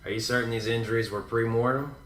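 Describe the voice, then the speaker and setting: An actor playing a cynical, sarcastic big-city detective in a radio drama, blunt and skeptical. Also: male voice, medium pitch, fairly even intonation, fast talker, a bit bright, a little hiss or hum, lightly acted. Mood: probing, skeptical. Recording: roomy echo with music behind. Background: music.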